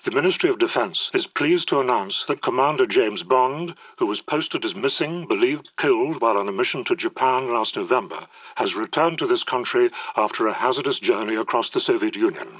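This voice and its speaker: An actor playing a formal British radio newsreader, reading an official bulletin in a measured, impersonal manner. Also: male voice, medium pitch, fairly bright tone, no echo, natural voice, rough recording. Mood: formal, neutral. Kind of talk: scripted news bulletin in a radio drama.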